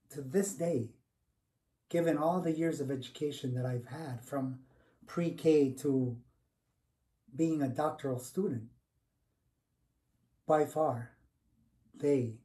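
A man's voice: mid-range voice, slow, long pauses, warm tone, natural voice. An actor playing a devoted middle-aged educator and grateful son, telling his story conversationally in a dramatized monologue. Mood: earnest, grateful. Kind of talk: dramatized first-person narrative, conversational. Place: small home room, indoors. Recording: distant mic in a room.

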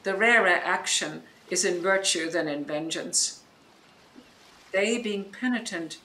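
Lady acting grand bossy sorceress, shakespeare radio play, slow and forceful, echoey. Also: medium pitch. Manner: grand, deliberate, commanding. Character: commanding, vengeful yet merciful female sorceress. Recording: roomy echo, faint noise, recorded online.

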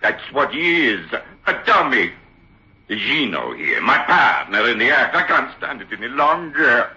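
An actor playing an agitated Italian stage ventriloquist, complaining insistently in broken, Italian-accented English in a radio drama. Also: male voice, mid-range voice, very animated, a little slow, bright, forceful tone, exaggerated voice. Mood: indignant. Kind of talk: old-time radio drama acting. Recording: dull old radio recording.

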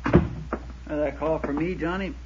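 An American actor playing an exasperated, folksy small-town insurance agent in a 1950s radio drama. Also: male voice, a little high, steady tone, slow pace, very noisy. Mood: muted. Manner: folksy, insistent. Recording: dull, dry 1950s radio recording.

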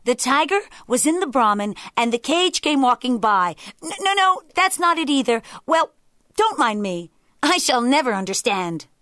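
A storybook jackal, played by a woman, sly underneath and hopelessly muddled on top. The voice sits high and swings widely, with drawn-out exclamations of puzzlement. It is recorded close and dry. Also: sing-song, fairly bright tone, no room sound. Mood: befuddled. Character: sly jackal feigning muddled, dim-witted confusion. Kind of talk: animal folktale storytelling.

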